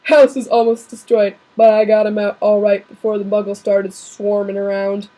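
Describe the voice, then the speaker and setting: A woman reading aloud and putting on the voice of a huge, soft-hearted male giant, loud and tearful. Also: medium pitch, little pitch movement, normal speed, natural voice, low-quality recording. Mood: gruff.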